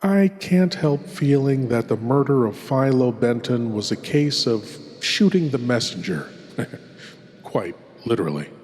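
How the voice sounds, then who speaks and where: Slow, ominous male horror host in reverb. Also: mid-range voice, expressive, darkish tone. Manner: deliberate, ominous, wry. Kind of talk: theatrical scripted horror-host narration. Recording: long cavernous reverb.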